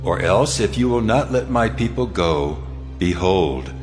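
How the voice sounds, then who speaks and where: A man playing God in a dramatized scripture audio drama, solemn and majestic, speaking in deliberate declamation. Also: low-pitched, animated. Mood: solemn command. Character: God of Israel, solemn, commanding, majestic divine voice. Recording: reverberant hall, music underneath.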